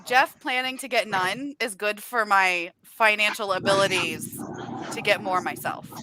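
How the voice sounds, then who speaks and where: A woman's voice: mid-range voice, a little slow, background noise. A woman in her early thirties hosting a casual video-call chat with friends, bubbly and giggly. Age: 30s. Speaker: channel host.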